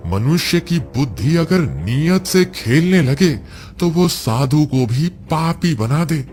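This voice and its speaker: A man's voice: fairly high pitch, lively intonation, slow, a little mellow, slight background noise. A man voicing the soul of a deferential village priest in a cartoon moral story, respectful and earnest. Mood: earnest, warning.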